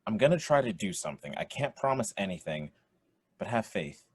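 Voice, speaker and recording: A man acting an earnest, supportive young friend in a modern radio play, with a husky voice. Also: lowish voice, very animated, fairly quick, heavily acted. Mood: earnest, concerned.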